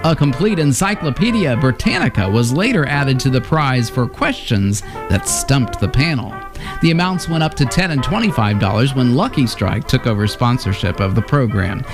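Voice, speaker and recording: A man's voice: mid-range voice, lively intonation, normal speed. A man reading scripted narration as the presenter of a radio history series. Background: music.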